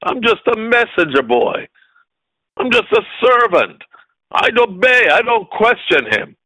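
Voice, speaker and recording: A man's voice: sits high, big pitch swings, measured pace, pushed and bright, dry recording, rough recording. A man preaching a fervent sermon, emphatic and repeating short phrases for effect.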